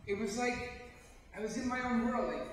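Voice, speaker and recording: A man's voice: extremely high pitch, normal speed, pitched above the performer's own voice. A man playing a tormented murderer who broods and repeats himself in a dramatic amateur stage monologue. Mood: brooding, remembering. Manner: brooding, agitated, repetitive. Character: haunted, tormented male murderer confessing his night walk. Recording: echoey hall, far off, noisy.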